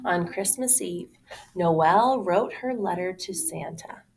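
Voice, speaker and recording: A woman reading a children's storybook aloud in an expressive, dramatic way, like a teacher to young listeners. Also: on the low side, takes it slowly, creaky, a little hiss or hum, poor audio. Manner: dramatic, exclaiming.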